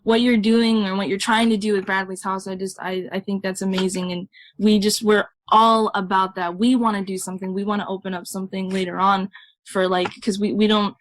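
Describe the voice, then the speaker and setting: A woman in her twenties, a musician guest on a podcast, talking earnestly and softly. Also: mid-range voice, little pitch movement, fairly quick, no room sound. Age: 20s. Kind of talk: casual interview chat.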